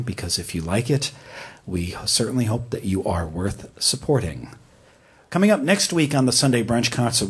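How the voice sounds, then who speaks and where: A male radio host with a medium-pitched voice speaks on air. He delivers announcements and a fund drive appeal in a live broadcast style. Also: breathy edge.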